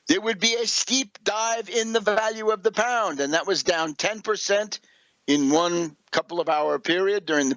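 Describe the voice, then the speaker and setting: A man giving unscripted political commentary as a radio host, combative and sarcastic, halting and correcting himself, often firing off rhetorical questions. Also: sits high, a bit bright, no echo, lo-fi sound.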